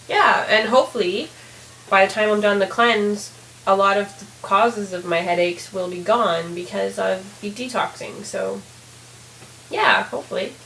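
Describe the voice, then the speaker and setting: A woman with a hoarse, raspy voice giving a candid, rambling video diary update, full of fillers. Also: mid-range voice, fairly bright tone, roomy sound, a little hiss or hum, low-quality recording. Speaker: vlogger.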